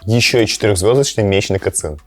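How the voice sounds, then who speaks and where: A man's voice: on the low side, quick pace, faint music behind. A man hosting a gaming and cooking vlog, chatting casually and playfully, sometimes to his cat. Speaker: host of a gaming and cooking channel. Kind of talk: casual chat to camera with gameplay reactions.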